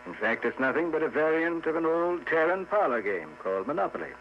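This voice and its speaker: An actor playing a polite, mildly officious immigration inspector with formal manner in a 1950s radio drama. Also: male voice, on the high side, sing-song. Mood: courteous. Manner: formal, measured. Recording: muffled 1950s radio recording with hum.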